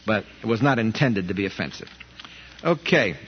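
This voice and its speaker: A man's voice: on the low side, animated, moderate pace, a little hiss or hum. A man from Brooklyn, earnestly answering listener questions in a conversational Bible study talk. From Brooklyn, New York. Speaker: ministry leader answering questions. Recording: dull, reverberant radio broadcast.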